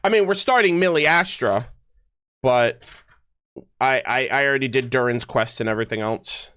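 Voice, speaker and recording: A man giving casual livestream commentary, rambling and halting as he thinks aloud. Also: medium pitch, bright, forceful tone, smooth, dry recording. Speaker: streamer. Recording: music playing behind voice. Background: music.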